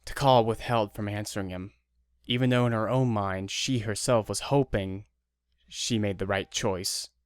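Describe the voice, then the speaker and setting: A man's voice: fairly low pitch, steady tone, moderate pace, smooth, dry recording, clean studio sound. A man narrating a scripted audio drama as storyteller.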